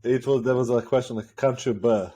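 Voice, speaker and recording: Quick hesitant man. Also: mid-range voice, fairly even intonation, warm tone, breathy edge. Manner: quick, hesitant, many fillers. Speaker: podcast co-host. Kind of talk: casual comedy chat.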